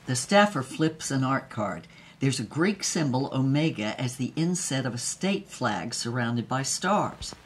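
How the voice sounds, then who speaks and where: Deep-voiced, brassy old screen queen. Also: animated, unhurried, husky, full character voice. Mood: wry reminiscing. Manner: wry, blunt, slangy. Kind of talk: conversational storytelling in an audio drama.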